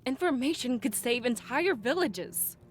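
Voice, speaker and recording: A woman's voice: high-pitched, animated, measured pace, faint music behind. An actress voicing a resolute, shrewd woman advisor in a fantasy visual novel, speaking firmly and argumentatively. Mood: insistent, heated.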